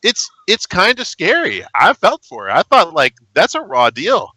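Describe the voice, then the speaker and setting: A man with a baritone voice and a very bright, forceful tone retells a story with animated, joking energy. His voice is recorded dry and close, as on a home podcast mic. He talks casually with fellow gamers. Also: moderate pace, slightly rough, no room sound, low-quality recording. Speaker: podcast co-host. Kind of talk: casual gaming podcast chat.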